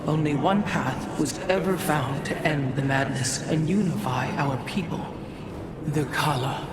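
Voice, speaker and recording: Stern ancient alien priestess, heavy noise. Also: female voice, low-pitched, a little slow. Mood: solemn. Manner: formal, solemn, archaic phrasing. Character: proud, ancient female alien preserver of history, stern and devout. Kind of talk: scripted game cutscene dialogue.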